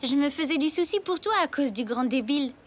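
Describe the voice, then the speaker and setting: A woman voicing a young schoolgirl in a French cartoon, sweetly concerned and gently teasing. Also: high voice, expressive, fairly quick. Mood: sweetly admiring. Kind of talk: French animated cartoon acting.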